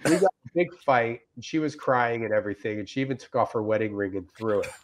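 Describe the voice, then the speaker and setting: A man speaks in a rambling, deadpan way, as a comedian reading aloud and chatting casually. His voice sits at a middle pitch. The recording is a video call with faint noise and clicks. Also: fast. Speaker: comedian guest. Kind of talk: casual chat and comic reading aloud of written reviews. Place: indoors on a group video call.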